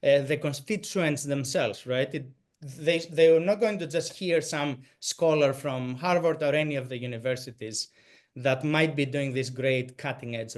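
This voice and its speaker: Greek accented guy on a video call, kinda high voice, lots of ums and restarts. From Greek American. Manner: halting, many restarts and fillers. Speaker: presenter. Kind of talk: answering questions in a talk.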